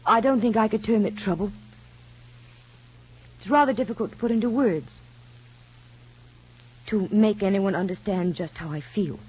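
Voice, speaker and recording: An actress playing an anxious young mother in a radio soap opera, speaking politely and hesitantly in measured phrases. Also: medium pitch, close to an everyday voice. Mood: anxious, confiding. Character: anxious young mother confiding in child psychologist. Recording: muffled, husky-sounding old radio recording.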